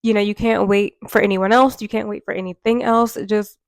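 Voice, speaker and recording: A woman's voice: medium pitch, fairly even intonation, brisk, dry recording, clean studio sound. A woman in her twenties, nasal and tired from a cold, chatting earnestly to camera in a casual vlog with many fillers. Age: 20s. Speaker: vlogger. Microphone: microphone.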